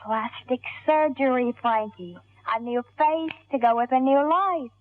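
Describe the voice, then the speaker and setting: An actress playing a scheming, hard-boiled gangster moll with a tough, wry, slangy delivery in an old radio crime drama. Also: female voice, high-pitched, a little slow, no echo. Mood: cool, persuasive. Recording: tinny old radio broadcast, music behind.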